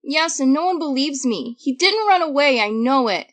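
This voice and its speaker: A woman's voice: sits high, lively intonation, normal speed, a bit bright. A woman playing an anxious, defensive twelve-year-old girl in an audio drama, earnest and hesitant. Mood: worried, earnest.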